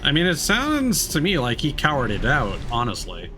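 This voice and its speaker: A man's voice: medium pitch, wildly swooping pitch, normal speed, music in the background. A man livestreaming a video game, chatting casually in a musing, discursive way. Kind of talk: casual game stream commentary.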